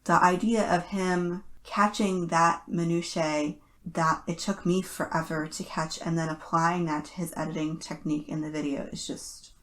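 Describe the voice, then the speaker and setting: A woman hosting a podcast, chatting analytically in a casual, thoughtful way with halting restarts. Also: fairly low pitch, steady tone, a bit bright. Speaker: podcast host.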